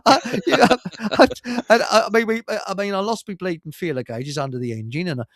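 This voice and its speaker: A man's voice: high voice, brisk, dry recording, studio quality. An older man, chatting casually as a radio host and chuckling through rambling anecdotes. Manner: jovial, chuckles through his stories.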